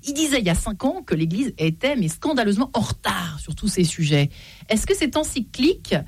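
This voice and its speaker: A man's voice: high voice, big pitch swings, brisk, breathy edge, no room sound. A man hosting a French talk show, asking playful questions of his guests. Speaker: host.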